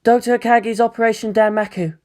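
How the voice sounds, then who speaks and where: A woman voicing a quiet, enigmatic teenage girl pilot in a story, measured and restrained. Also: a little high, steady tone, pitched above the performer's own voice, natural voice. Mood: cool, matter-of-fact. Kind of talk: acted story dialogue.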